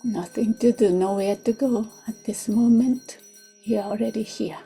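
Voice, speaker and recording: A woman who is not a native English speaker leads a guided meditation in a soft, gentle voice, in short unhurried phrases that often drop toward a whisper. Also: fairly high pitch, steady tone, gravelly, husky, soft background music. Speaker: meditation teacher. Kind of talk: guided meditation, slow and soothing.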